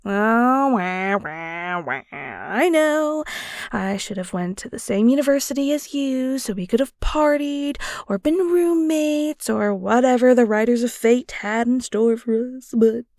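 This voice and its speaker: A woman playing a playful, easily flustered young best friend in an intimate audio roleplay, chatty and giggly, stammering, with breathy drops toward a near-whisper. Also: on the high side, lively intonation, a little slow, a little mellow, no room sound, clean studio sound. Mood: giddy, fond. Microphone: close studio-style mic, dry.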